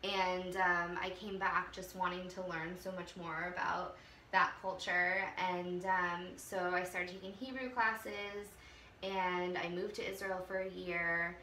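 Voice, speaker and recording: A woman's voice: fairly low pitch, level tone, measured pace, bright, forceful tone. A young woman with a Californian accent, a guest chatting over tea, telling stories with lots of "um" and "like.". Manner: storytelling, lots of fillers like "um" and "like". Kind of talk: casual chat over tea. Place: small bright room with a tea table. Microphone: far camera. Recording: echoey room from far off, faint hiss.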